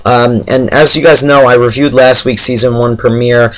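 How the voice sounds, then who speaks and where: A man with a medium-pitched voice that sounds hoarse and worn out talks casually to his viewers. He reviews television in a chatty, halting way, like someone exhausted after straining his voice. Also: fairly even intonation, rough recording. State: hoarse from shouting, very tired. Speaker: YouTube TV episode reviewer. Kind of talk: casual spoken review to camera.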